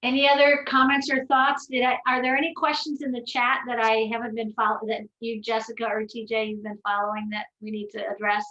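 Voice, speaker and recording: A woman's voice: fairly high pitch, level tone, a little fast, few pauses, sharp tone. Woman moderator, talkative, many fillers, echoey room, dull lo-fi sound. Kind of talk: moderating a live-streamed panel. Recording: dull, echoey, distant audio.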